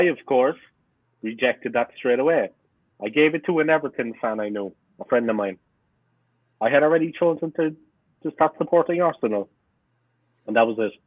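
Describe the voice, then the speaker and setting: A man's voice: medium pitch, no echo. Man, 40s, strong Cork Irish accent, earnest storyteller, podcast guest, thin phone-quality line, dry sound. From Cork, Ireland. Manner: earnest, repeats himself for emphasis. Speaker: podcast guest, fan channel owner. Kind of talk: casual interview storytelling. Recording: tinny phone-like remote line.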